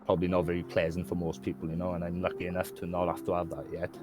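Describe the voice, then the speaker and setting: A man from Wales with a Welsh accent and a very deep voice, talking as a guest in an easygoing chat. He speaks openly and rambles, leaning on "do you know what I mean" again and again. Music plays clearly in the background. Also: completely flat delivery, racing. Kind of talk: casual conversation.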